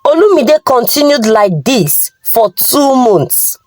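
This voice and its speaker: A Nigerian-accented woman telling a moral folktale in short, deliberate phrases. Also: high voice, animated, darkish tone, no room sound. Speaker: storyteller.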